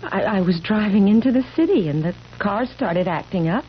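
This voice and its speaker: An actress playing a mysterious, eternally young wife in a 1970s radio drama, dramatic and insistent. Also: female voice, medium pitch, lively intonation, normal speed, natural voice. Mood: evasive. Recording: muffled, faintly noisy vintage radio recording.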